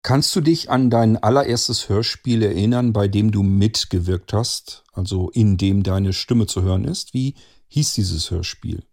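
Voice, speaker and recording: Gravelly-voiced male interviewer, deliberate delivery. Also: fairly low pitch, a little mellow. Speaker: interviewer, host. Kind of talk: prepared, scripted-sounding interview questions.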